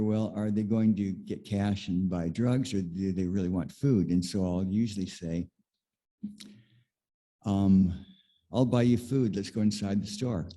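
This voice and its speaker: A man sharing a reflective personal anecdote in a group discussion, in a measured, plainspoken way. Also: fairly low pitch, dark tone. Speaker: congregation member sharing in church discussion.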